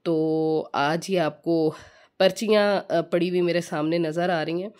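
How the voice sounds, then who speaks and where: Slightly hoarse woman teaching kids at home. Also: fairly low pitch, steady tone, a little slow, a little mellow. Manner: chatty, mixes English words, frequent fillers. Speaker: home teaching channel host. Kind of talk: casual home tutorial talk.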